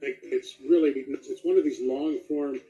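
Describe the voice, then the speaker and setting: A man's voice: medium pitch, fairly even intonation, measured pace, dark tone. A middle-aged man chatting casually as an interview guest, with a self-deprecating manner. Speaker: guest voice actor. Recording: dull, lo-fi audio.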